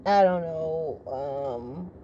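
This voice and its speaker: Deep-voiced middle-aged woman, briefing-style delivery. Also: mellow, highs cut off, a little hiss or hum. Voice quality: naturally deep, rich voice. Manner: measured, formal briefing-like delivery. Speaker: retired military vlogger. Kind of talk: opinionated monologue to camera.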